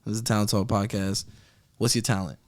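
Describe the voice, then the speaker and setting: A man's voice: on the low side, little pitch movement, fairly quick, no room sound. A man hosting a podcast, asking questions in a teasing, joking way. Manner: joking, teasing, laughs often. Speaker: podcast host. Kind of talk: casual interview chat.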